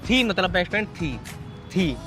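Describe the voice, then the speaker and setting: Young guy, pretty high voice, casual teasing chat, repeats himself, music in background. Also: big pitch swings, normal speed. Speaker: prank video host.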